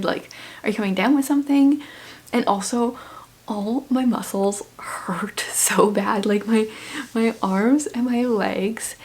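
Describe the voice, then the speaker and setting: A woman from the Canadian prairies giving a rambling, casual vlog update, her voice hushed and breathy at times. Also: medium pitch. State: sore throat, losing her voice. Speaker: vlogger. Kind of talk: casual chat.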